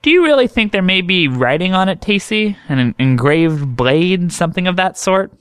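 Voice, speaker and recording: A man's voice: high-pitched, very animated, normal speed, fairly bright tone, smooth, no echo, voice pushed up. A man voicing a pompous, skeptical male doctor with a dismissive manner.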